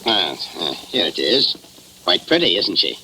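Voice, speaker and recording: An actor playing a polite, slightly fumbling investigator in an old-time radio drama, deferential and hesitant. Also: male voice, low-pitched, big pitch swings, a little slow, bright, forceful tone, exaggerated voice, poor audio. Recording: old radio recording with faint noise.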